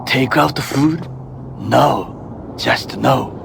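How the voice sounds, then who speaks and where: An actor playing a stern, middle-aged Japanese former bodyguard in a video game, with a Japanese accent and formal, measured phrasing. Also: male voice, mid-range voice, vocal fry, background noise, exaggerated voice. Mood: wistful, earnest.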